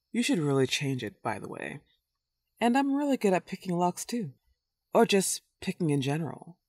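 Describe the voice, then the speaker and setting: A woman's voice: sits low, dry recording. A woman playing a love-obsessed werewolf girl in a comedic roleplay audio drama, playful, taunting and cocky. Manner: playful, taunting, swooping emphasis.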